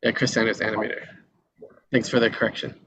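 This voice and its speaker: A man's voice: fairly low pitch, flat delivery, fast talker, a bit bright. A man hosting a casual group discussion, handing turns to the others. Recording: muffled video call with clear background noise.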